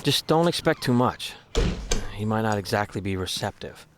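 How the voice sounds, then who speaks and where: A man's voice: lowish voice, animated, moderate pace, noisy background, lightly acted. An actor playing a frustrated young man standing up to his father, defiant and exasperated.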